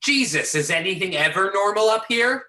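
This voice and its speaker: A man playing a gruff, disgusted raid team commander in amateur readers theater. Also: high voice, expressive, normal speed, bright, forceful tone. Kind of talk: amateur readers theater over a remote call. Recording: roomy echo on remote call.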